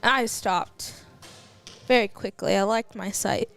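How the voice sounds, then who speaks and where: A woman's voice: medium pitch, a little slow, vocal fry, fairly bright tone, faint music behind. A teenage girl with an American accent giving casual live sports commentary in a chatty, easily sidetracked, informal way. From Texas, United States. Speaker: youth broadcast commentator.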